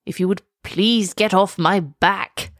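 A woman voicing a grumpy but obliging old turtle in a children's storybook, muttering and unhurried. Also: mid-range voice, fairly even intonation, fairly bright tone, no echo, clean studio sound.

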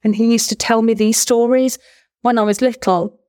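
A woman's voice: fairly high pitch, steady tone, moderate pace, mellow. A woman from England in her forties, a former language teacher, chatting enthusiastically as an interview guest and laughing often. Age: 40s. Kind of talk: casual interview chat.

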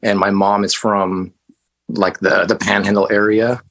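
A man's voice: fairly low pitch, level tone, measured pace, a bit bright. A man from West Texas, a band musician, telling stories in a casual interview in a loose, laid-back way with plenty of fillers and some swearing. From West Texas, USA.

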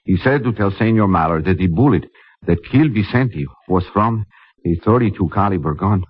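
A man's voice: on the low side, expressive, normal speed, slightly rough, no echo. An actor playing a humble, deferential Mexican man in a 1950s radio drama. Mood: humble, apologetic. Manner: polite, deferential. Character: humble, apologetic Mexican man bearing messages. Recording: muffled old radio recording.

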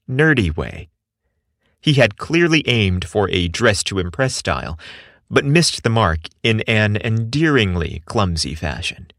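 A man reading a scripted romantic story as narrator, in a measured, expressive storytelling manner. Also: on the low side, no room sound, studio quality.

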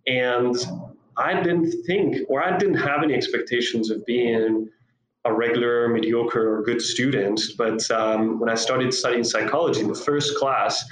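A man's voice: mid-range voice, fairly even intonation, normal speed, reverberant, low-quality recording. A man in his thirties from Costa Rica, with a Costa Rican accent that carries a slight South African tinge, speaking as an interview guest. He tells his story in a reflective, hesitant way, with many fillers and restarts.

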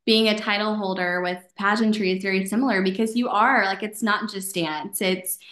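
A woman hosting an interview show, upbeat and quick with her banter. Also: mid-range voice, steady tone, a bit bright, roomy sound. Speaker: podcast host. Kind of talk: casual interview.

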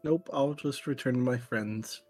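A man's voice: mid-range voice, unhurried, a little mellow, soft background music. A man playing a tabletop role-playing game, speaking casually as his character.

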